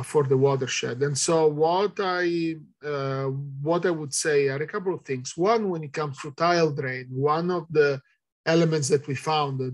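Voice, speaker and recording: A middle-aged man with an Italian accent answering audience questions in an online talk, hesitant with many fillers, heard over a video call. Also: fairly high pitch. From Italy. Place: indoors, virtual background.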